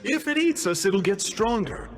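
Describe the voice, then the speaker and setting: A youthful, scheming clerk from a video game, speaks in a high, wildly animated voice. He is pompous and wheedling, piling up fancy words with theatrical self-importance, over clearly audible music. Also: moderate pace. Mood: grandly explanatory.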